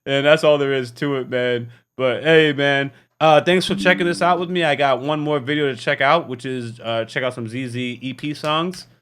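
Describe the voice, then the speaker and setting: A man's voice: medium pitch, normal speed, few pauses, a bit bright, clear, resonant tone. A man hosting a reaction video, talking casually and candidly in a rambling, tired way. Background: music.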